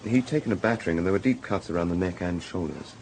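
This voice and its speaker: A candid British hospital doctor in a radio drama. He is a man with a fairly low voice who talks plainly and conversationally, with faint ambience behind him. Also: quick pace, a little mellow, a little hiss or hum, low-quality recording. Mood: matter-of-fact. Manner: matter-of-fact, conversational. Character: brisk, candid male hospital casualty doctor. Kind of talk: naturalistic radio drama acting.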